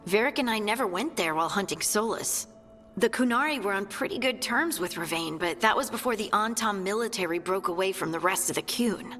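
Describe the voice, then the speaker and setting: Brisk, practical female scout for a fantasy game, acted by a woman; bright, forceful, reverberant. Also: mid-range voice, natural voice. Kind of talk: video game dialogue.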